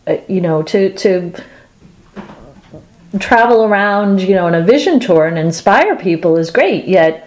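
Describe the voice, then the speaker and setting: A woman co-hosts a podcast in loose, agreeable chat, peppering her speech with fillers and frequent restarts. Her pitch sits in the middle, and the recording carries a roomy echo. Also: lively intonation, measured pace, darkish tone. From Boston. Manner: agreeable, backchannels often, full of fillers and restarts. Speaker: podcast co-host. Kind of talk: casual conversational chat. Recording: echoey room.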